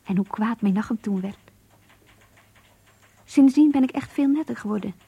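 An actress in a radio play voicing an earnest, introspective young woman who confides in her diary in an intimate, breathy voice. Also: a little high, moderate pace, mellow. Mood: matter-of-fact, rueful. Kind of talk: radio drama diary monologue.

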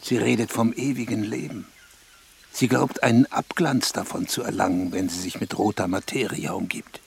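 Creaky, imperious prince-elector. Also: male voice, lowish voice, fairly even intonation, moderate pace. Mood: stately. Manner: stately, imperious, archaic royal "we". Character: imperious, demanding male prince-elector, vain patron. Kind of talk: radio drama acting.

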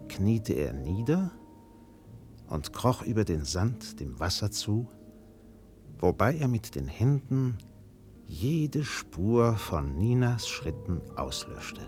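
A man narrating a literary radio play in a solemn, measured way with dramatic pauses. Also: lowish voice.

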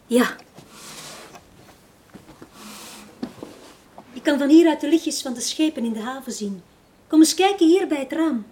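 An actress playing a lonely, quietly insistent young woman with a Flemish accent, speaking softly and hesitantly in a radio drama. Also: sits high, animated, a little fast. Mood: shy, lonely. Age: young adult. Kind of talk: 1980s Dutch-language radio drama acting.

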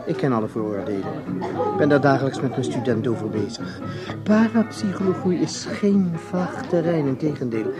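An actor playing an urbane, probing academic in a radio play, polite and wry, at a noisy party. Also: male voice, mid-range voice, expressive, normal speed, close to an everyday voice. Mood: wry, guarded. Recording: loud party crowd and music.

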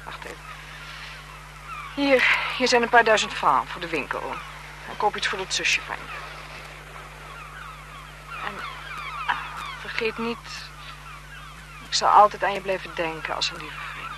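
A woman's voice: medium pitch, huge pitch swings, unhurried, long pauses. An actress playing a cool, haughty married woman with a condescending manner in a radio thriller. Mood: firm, dismissive. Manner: condescending, dismissive, dramatic. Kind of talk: 1970s Dutch radio thriller acting. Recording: noisy 1970s radio drama broadcast.

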